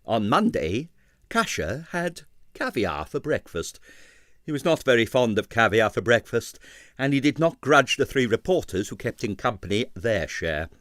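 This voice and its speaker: A man reading an abridged novel aloud with expressive narration. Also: mid-range voice, normal speed, dry recording, clean studio sound. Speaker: audiobook reader.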